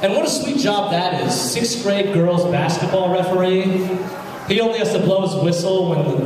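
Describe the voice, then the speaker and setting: Young man, stand-up comic, crude self-mocking jokes, slightly high voice, echoey hall, distant mic, crowd laughing. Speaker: stand-up comedian. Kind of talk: stand-up comedy set. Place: college open mic room with audience. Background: audience laughing.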